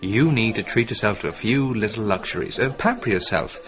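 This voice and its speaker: Low-voiced, flirty hairdresser. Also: male voice, expressive, fairly quick, a bit bright, lo-fi sound. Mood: flirtatious. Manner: coaxing, chummy. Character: smooth, flirtatious male hairdresser, gossipy and attentive. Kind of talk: radio comedy-drama acting.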